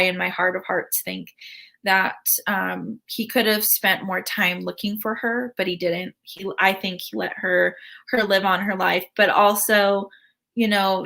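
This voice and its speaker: A woman hosting a casual commentary video, chatty and full of ums and restarts, with a voice she calls high-pitched. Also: vocal fry, pushed and bright. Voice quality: says her voice is high-pitched. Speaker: host.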